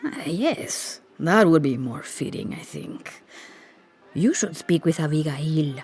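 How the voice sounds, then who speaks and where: An actress playing a kindly older village woman in a video game cutscene, speaking gently and with wistful amusement. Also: low voice, expressive, slow pace, husky, heavily acted. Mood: welcoming.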